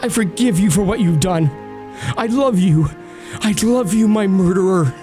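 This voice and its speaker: A man's voice: sits high, moderate pace, warm tone, natural voice. A man playing a bitter, passionate, grieving lover in a melodramatic audio drama, tormented and intense. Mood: tender anguish. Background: dramatic music.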